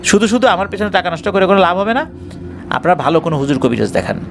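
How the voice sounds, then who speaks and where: A man's voice: high voice, big pitch swings, rapid-fire, creaky, noisy background, heavily acted. A man voicing a wary village healer in a horror story, explaining solemnly. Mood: solemn refusal. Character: wary male village healer refusing a dangerous case. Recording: music bed behind the voice.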